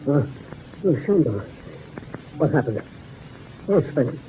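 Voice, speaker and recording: An actor playing a fearful, distraught widower who stammers in agitation, in 1940s radio horror style. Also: male voice, mid-range voice, sing-song, slow. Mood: shaken, horrified. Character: distraught, fearful husband of a dead woman. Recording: tinny, hissy 1940s radio recording.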